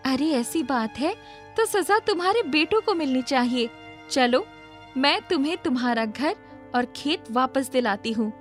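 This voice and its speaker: A woman puts on a young magical fairy for a cartoon tale. She is caring and commanding, lifted in pitch, with music behind. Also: animated, measured pace. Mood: indignant, helpful. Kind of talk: cartoon moral-story acting.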